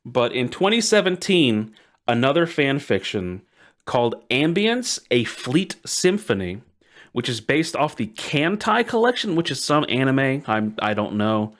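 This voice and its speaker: A man's voice: medium pitch, sing-song, measured pace, fairly bright tone. Enthusiastic male podcast host, emphatic storyteller. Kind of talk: casual storytelling podcast chat.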